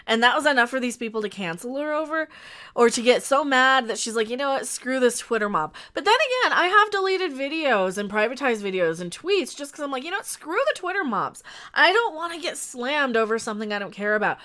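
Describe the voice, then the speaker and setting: Bright, high-voiced woman chatting on stream. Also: big pitch swings, fairly quick. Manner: rambling and blunt, with frequent restarts and asides to chat. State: sniffly. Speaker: online commentator hosting a live stream. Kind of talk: casual live-stream chat.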